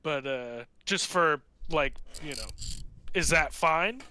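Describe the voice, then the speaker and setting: A man's voice: a little high, expressive, takes it slowly, pushed and bright. A man chatting casually as a tabletop game player, rambling and slangy, with casual swearing. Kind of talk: casual chat.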